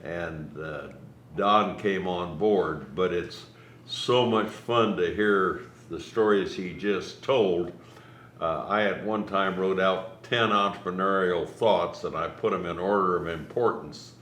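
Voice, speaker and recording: An older man giving informal closing remarks to an audience as host, in halting, deliberate phrases. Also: deep voice, fairly bright tone. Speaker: event host, business mentor. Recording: echoey room with faint noise.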